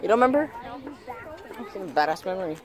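A woman's voice: mid-range voice, big pitch swings, fast. A young woman filming a girls' soccer match on her phone, chatting casually and cheering players on from the sideline. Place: outdoor soccer field sideline. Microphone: phone camera held by the speaker. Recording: phone recording with crowd noise.

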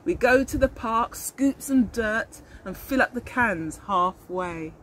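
Older woman, very lively high-pitched storyteller. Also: slow, low-quality recording. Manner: expressive, explains and asks questions of young listeners. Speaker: early years teacher reading to children. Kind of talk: picture-book storytelling with asides to children. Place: outdoors in a leafy garden. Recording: outdoor garden recording with birdsong and noise.

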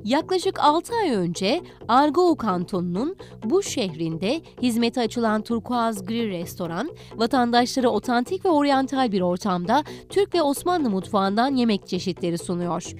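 Lively high-voiced female report narrator. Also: normal speed, few pauses. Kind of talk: scripted narration. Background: background music.

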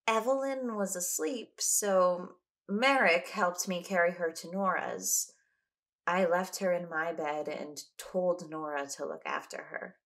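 A woman's voice: on the low side, a little slow, fairly bright tone. An actress playing a wary, insistent woman explorer in an audio drama. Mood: subdued, explaining. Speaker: lead actor in audio drama.

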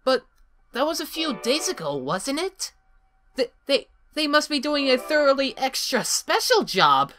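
A woman voicing an excitable, childlike, caring male skeleton hero in a theatrical fan comic dub. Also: high-pitched, unhurried, a little hiss or hum, exaggerated voice. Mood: worried, gentle. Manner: theatrical, bombastic, eager. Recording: music behind the voice.

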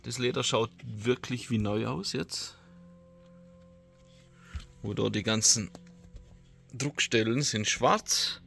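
A man's voice: medium pitch, takes it slowly, gravelly, pauses often, a bit bright, soft background music. A man, casually explaining a repair job in his garage workshop, with hesitant fillers. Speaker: DIY video host. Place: home garage workshop, indoors. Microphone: camera mic, close then farther as he works on the seat.

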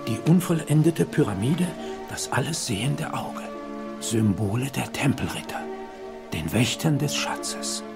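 Gravelly old grandpa telling secret legends, hushed n dramatic, film dub with music under it. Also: male voice, mid-range voice, expressive, unhurried. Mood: solemn, mysterious. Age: older. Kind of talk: dubbed film dialogue, dramatic storytelling.

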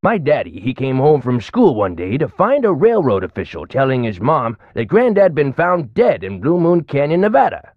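A lively, rambling old-timer. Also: male voice, mid-range voice, normal speed, hardly any pauses, no room sound, muffled recording. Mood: folksy, chatty. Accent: American. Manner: folksy, rambling storytelling. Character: rambling, folksy, chatty old man of ninety-two. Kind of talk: video game character acting.

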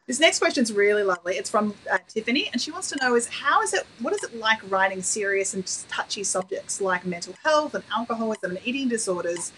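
A woman's voice: mid-range voice, expressive, brisk, hardly any pauses, a bit bright, a little hiss or hum, rough recording. A woman hosting a live video interview, reading out fan questions with upbeat enthusiasm. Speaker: host. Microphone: webcam on a video call.

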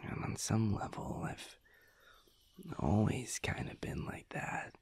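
A man playing a sweet, reflective werewolf boyfriend in an intimate audio roleplay, speaking softly and haltingly in a breathy, gravelly half-whisper. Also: fairly low pitch, animated. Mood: reflective, hushed. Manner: slow, halting, soft-spoken, musing.

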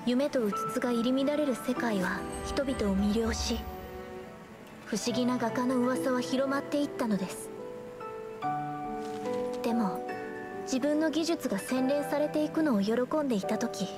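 A woman voicing an earnest, gentle young female painter in an anime game, speaking politely and solemnly. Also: high voice, over loud music. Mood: sorrowful, reflective. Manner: polite, solemn, measured speech.

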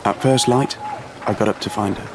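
A man in his twenties playing an awkward, uneasy young man in an audio play, speaking haltingly. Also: lowish voice, steady tone, lightly acted. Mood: hesitant, confiding. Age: 20s. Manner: hesitant, halting. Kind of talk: audio play monologue and dialogue. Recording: music behind the voice.

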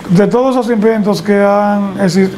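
An older man interviewing an elderly guest at home, asking clear, explanatory questions. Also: high voice, fairly even intonation, normal speed, noisy background. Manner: clear, explanatory, leads with prompts. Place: living room at home. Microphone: lapel mic.

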